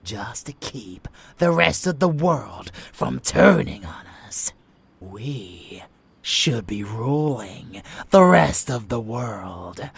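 A man voicing an unhinged, seductive, bloodthirsty vampire in a roleplay audio, theatrical and taunting, with a raspy, breathy voice that often drops into whispers. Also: mid-range voice, big pitch swings, full character voice. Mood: scornful, outraged. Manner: theatrical, slow, sneering, taunting. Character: unhinged rebellious male vampire, seductive, zealous, bloodthirsty lover. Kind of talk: roleplay ASMR dramatic monologue.

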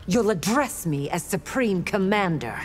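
A woman's voice: mid-range voice, animated, measured pace, in a large hall, noisy background, natural voice. A woman voicing a haughty princess commander in a video game, firm and scornful. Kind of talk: video game character dialogue.

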